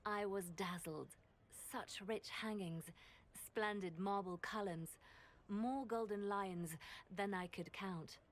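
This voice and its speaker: A woman playing a wistful, shrewd court diplomat in a video game, solemn, poetic and measured. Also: medium pitch, lightly acted.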